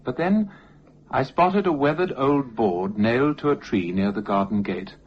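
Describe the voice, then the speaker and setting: A man's voice: mid-range voice, lively intonation, measured pace. Male narrator, theatrical ghost-story reading, widely swinging intonation, dull noisy lo-fi recording.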